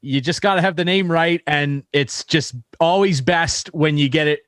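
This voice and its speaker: A man's voice: on the high side, normal speed, fairly bright tone, dry recording, clean studio sound. A man chatting casually as the host of a sports interview show.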